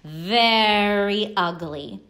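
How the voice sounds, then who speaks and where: A woman voicing mocking storybook schoolchildren with drawn-out, sneering emphasis. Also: medium pitch, fairly bright tone.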